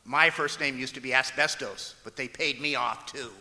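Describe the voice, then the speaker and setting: A man plays a long-suffering comedy husband with a very bright, forceful voice. He explains things drily and patiently, in old radio style, echoing through a hall. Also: mid-range voice, normal speed. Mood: wry, deadpan. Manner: dry, patient, explanatory, hesitant. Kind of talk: old-time radio comedy acting on stage. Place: large auditorium stage, live performance. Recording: echoey auditorium stage recording.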